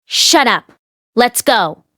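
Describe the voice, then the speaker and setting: Woman playing young male senior disciple, high voice, dramatic storybook character, dry recording. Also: expressive, extremely slow, pushed and bright, dry recording, full character voice. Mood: scolding. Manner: murmuring or sharply scolding.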